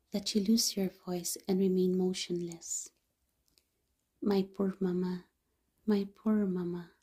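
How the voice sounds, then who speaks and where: Hushed, slow woman reading devotions. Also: mid-range voice, slightly rough. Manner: soft, hushed, half-whispered delivery. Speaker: devotional reader.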